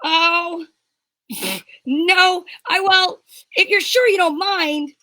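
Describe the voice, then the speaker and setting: A woman's voice: very high-pitched, fairly even intonation, extremely slow, sharp tone, dry recording, full character voice. A woman playing a feisty, indignant young mademoiselle, pert and flustered, in an amateur 1940s radio mystery reading.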